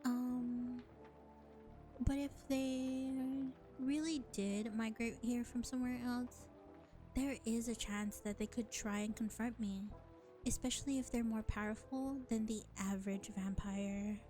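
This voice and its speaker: Slow, weary vampire woman, acted by a woman. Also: fairly high pitch, fairly even intonation, mellow, faint music behind, natural voice. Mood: measured, wary. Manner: deliberate, exasperated, sighing, condescending. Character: world-weary, powerful vampire, reluctant ally to a hunter. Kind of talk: scripted audio roleplay addressed to the listener.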